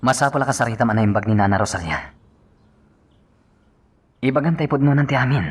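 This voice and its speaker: A man's voice: mid-range voice, brisk, husky, lightly acted. A man playing a protective, reassuring companion in a radio drama, speaking earnestly. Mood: concerned.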